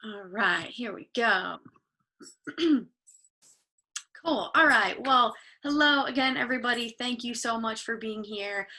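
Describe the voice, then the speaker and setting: A woman in her thirties giving a live online photography talk, chatty and encouraging with many ums, her voice slightly hoarse, heard over a video call. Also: on the high side, slow pace, long pauses, pushed and bright. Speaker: photography workshop instructor.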